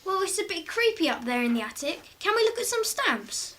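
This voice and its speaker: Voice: very high voice, big pitch swings, brisk, pushed and bright, heavily acted. A performer playing a slightly spooked child in a radio drama. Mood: uneasy, hopeful. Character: child up past bedtime, reading, slightly spooked.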